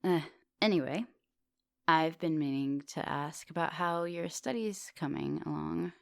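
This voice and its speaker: A woman acting as an ancient female vampire professor in an intimate ASMR roleplay. Her voice is very low with a gravelly fry, recorded close and dry. She is composed and formal in her wording, yet teasing and gently commanding, slipping at times toward a whisper. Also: unhurried, no echo. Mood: wistful, gentle. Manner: teasing, formal wording, soft dominant, often dropping into whispers.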